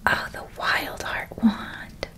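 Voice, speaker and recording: Slow whispering woman as doting witch big sister. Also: close to an everyday voice. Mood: tender admiration. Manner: tender, unhurried, softly admiring. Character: doting, gentle witch, eldest sister, gushing over school supplies. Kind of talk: whispered ASMR roleplay.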